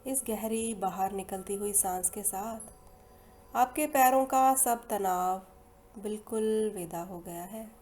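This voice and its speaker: Indian female meditation guide, mellow and soothing, long pauses, soft music underneath. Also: mid-range voice. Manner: soothing, unhurried, with long pauses between phrases. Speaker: guided meditation and hypnosis leader. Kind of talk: slow guided meditation narration.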